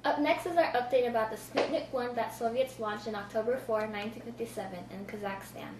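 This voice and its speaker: A woman's voice: a little high, a little fast. A young woman reading a scripted amateur newscast as an anchor. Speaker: student news anchor. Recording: echoey room, distant mic, clear noise.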